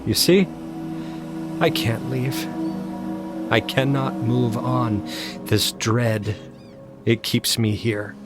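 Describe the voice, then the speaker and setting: Haunted man, slow, over loud music. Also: medium pitch, fairly even intonation. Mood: haunted, subdued. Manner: intense, halting, dramatic delivery. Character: haunted, obsessive man narrating his supernatural terror. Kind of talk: audio drama first-person horror narration. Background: dramatic music.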